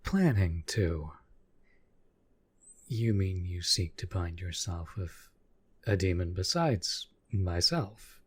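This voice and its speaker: A man playing a proud, possessive demon in a roleplay audio drama, speaking formally to the master he serves with menacing devotion in a husky, breathy voice. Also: sits low, lively intonation, dry recording, heavily acted. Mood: puzzled, suspicious. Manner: formal, measured, menacing yet deferential.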